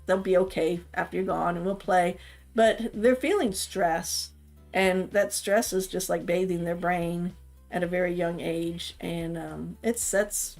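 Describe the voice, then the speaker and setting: A woman's voice: fairly low pitch, steady tone, unhurried, gravelly, darkish tone, soft background music. A middle-aged woman from the Washington, D.C. area, talking casually about raising kids and rambling with lots of "you know"s. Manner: rambling, thinks aloud with many "you know"s. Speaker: mother of the streamer. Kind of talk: casual chat about parenting.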